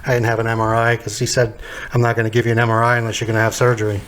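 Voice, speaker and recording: A man's voice: medium pitch, completely flat delivery, very fast, fairly bright tone. A man about forty, chatting bluntly on a podcast as a guest, swearing freely and often repeating himself. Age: 40s. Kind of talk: casual podcast chat.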